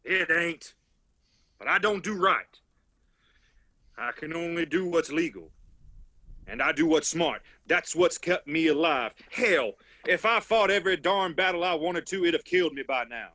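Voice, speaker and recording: A cautious, self-preserving county sheriff with an Appalachian accent. The voice is bright and a bit high, world-weary and pragmatic, in radio drama style. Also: male voice, lively intonation, a little slow, exaggerated voice.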